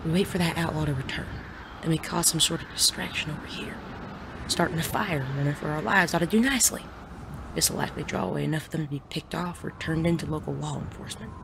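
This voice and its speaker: A sardonic gentleman outlaw from a western audio drama, acted by a woman. The voice is gravelly and articulate, smoothly persuasive in manner. Clear noise runs behind it. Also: low-pitched, fast. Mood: cool, persuasive. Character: suave, sardonic gentleman bandit of the Wild West.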